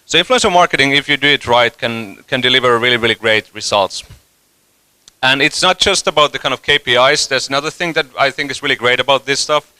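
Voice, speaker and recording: A man's voice: mid-range voice, brisk, sharp tone, clean tone, no echo. A man in his thirties giving a casual, joking conference talk, with many fillers and restarts. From Finland. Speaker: conference speaker, company CEO. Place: conference hall stage.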